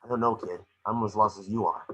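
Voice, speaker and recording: A man voicing a small, jaded, guilt-ridden electric mouse detective with a husky voice, in a table read of a film script. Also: fairly low pitch, fairly even intonation, fast, a little hiss or hum, natural voice. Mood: puzzled. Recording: dull, muffled home microphone.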